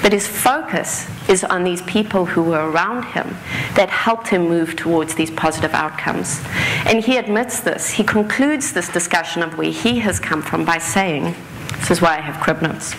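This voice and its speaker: Woman, lowish voice, earnest live talk with small self-corrections, some background noise. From South Africa. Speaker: speaker. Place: stage in an auditorium.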